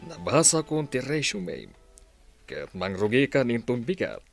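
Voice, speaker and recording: A man playing a kindly, fatherly older boss in an audio drama, speaking in a measured way. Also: medium pitch, very animated, creaky, soft background music, exaggerated voice. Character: kindly older male boss welcoming a new employee.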